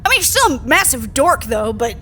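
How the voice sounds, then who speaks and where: A brash young female agent in an audio drama. Her voice is high and very bright, with cocky, blunt teasing and some faint ambient noise behind it. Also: very animated, a little fast, heavily acted. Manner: blunt, cocky, playful bullying. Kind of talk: casual audio drama dialogue.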